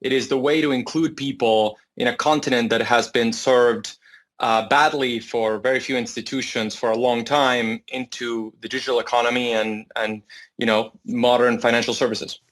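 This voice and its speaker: A man's voice: medium pitch, fairly even intonation, normal speed, fairly bright tone, slight background noise. Argentine man explaining, mid-range voice. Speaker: startup founder, interview guest. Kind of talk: interview answer, explanatory.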